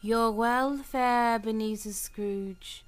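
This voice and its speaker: A woman playing a calm, commanding spirit who speaks solemnly in a radio play. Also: fairly high pitch. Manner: solemn, deliberate. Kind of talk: old-time radio play acting.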